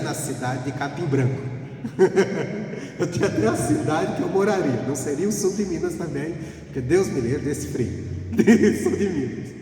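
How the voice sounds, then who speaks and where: A man's voice: mid-range voice, dark tone. A middle-aged man preaches informally as a pastor, telling anecdotes conversationally and repeating phrases for emphasis. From rural Pernambuco, Northeast Brazil. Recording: echoey hall, distant mic, faint music.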